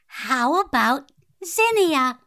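A woman's voice: sits high, big pitch swings, extremely slow, sharp tone, big-room sound, exaggerated voice. A woman playing a sweet, naive, scatterbrained older fairy with a guileless casual manner in a fairytale audio drama. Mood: innocent, eager.

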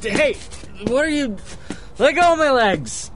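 A man's voice: very high voice, lively intonation, a little slow, a crowd in the background. An actor playing a cocky, easygoing womanizer in a conversational audio drama style. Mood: panicked.

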